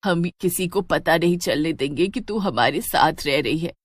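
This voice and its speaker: A woman's voice: low-pitched, animated, moderate pace, no echo. A woman playing an anxious, loving old village mother-in-law in a cartoon story, pleading and fretful. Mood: worried.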